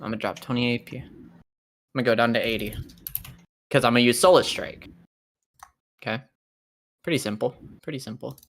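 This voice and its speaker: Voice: medium pitch, normal speed, pauses often, a bit bright. A tabletop roleplay player chatting casually and narrating combat moves, with slangy, boastful talk and swearing.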